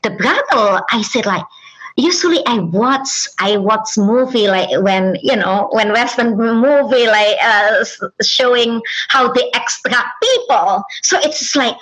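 Brief: woman, Indonesian accent, non-native English, interview guest telling her own story; slow, halting, lots of fillers. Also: a little high, fairly bright tone, poor audio. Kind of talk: personal testimony.

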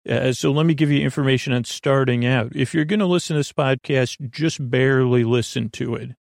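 A man with a creaky voice hosting a bedtime podcast in a meandering, halting monologue that keeps interrupting and repeating itself. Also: mid-range voice, dry recording, studio quality. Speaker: podcast host.

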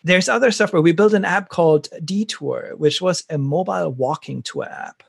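A man's voice: fairly high pitch, normal speed, vocal fry, husky, no echo, studio quality. A middle-aged man from Germany with a German accent, a startup founder talking enthusiastically in an interview, rambling with frequent restarts. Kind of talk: conversational interview.

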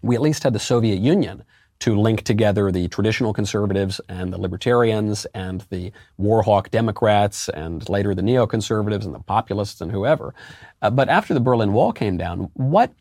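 A man with a deep voice, a guest in a political interview, arguing emphatically with rhetorical questions and sudden jumps in pitch on key words. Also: brisk, darkish tone, no echo, studio quality. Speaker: interview guest, conservative commentator.

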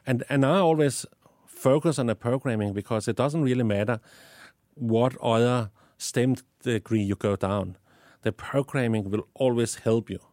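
A man's voice: mid-range voice, moderate pace, a little mellow, dry recording, clean studio sound. A man from Denmark with a Danish accent, answering interview questions about teaching, often repeating words as he thinks. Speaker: guest, STEM educator.

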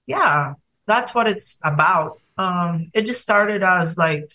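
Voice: medium pitch, animated, slow, sharp tone, poor audio. A young singer from Bulgaria with a Bulgarian accent, talking casually as a podcast guest and hesitating with many fillers and restarts.

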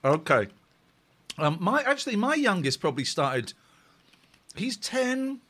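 A man's voice: high-pitched, very animated, unhurried, a bit bright, breathy edge. A middle-aged man with an English accent, chatting casually as host of a live phone-in stream. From England. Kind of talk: casual live chat with callers.

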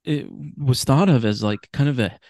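A man's voice: mid-range voice, normal speed, darkish tone, no echo, studio quality. An American man in casual podcast conversation as a guest, opinionated and casually profane. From Sacramento, California, United States. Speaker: podcast guest, host of his own show.

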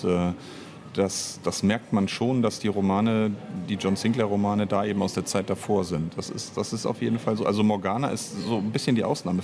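A man giving a casual interview as an audio drama writer and director, speaking in a hesitant, measured way with pauses. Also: lowish voice, a little mellow, a little hiss or hum.

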